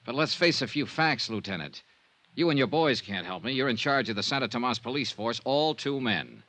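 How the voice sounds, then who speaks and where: An actor playing a tough, wry insurance investigator in a 1950s radio drama, speaking in clipped, slangy lines. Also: male voice, medium pitch, animated, moderate pace, bright, forceful tone. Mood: defiant, curt. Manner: clipped, slangy, hard-boiled. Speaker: lead actor and narrator. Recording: noisy vintage radio recording.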